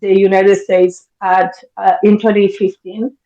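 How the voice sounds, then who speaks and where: A woman's voice: mid-range voice, level tone, unhurried, warm tone, roomy sound, dull audio. A woman in her fifties from Argentina, a therapist guest talking bluntly and directly, swearing freely. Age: 50s. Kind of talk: candid interview talk.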